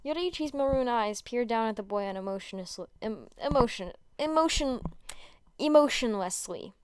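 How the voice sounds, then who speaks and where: A woman's voice: a little high, lively intonation, moderate pace, darkish tone, clean tone, no room sound. A woman narrating a story as an amateur reader, often stumbling and rereading words. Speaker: podfic narrator.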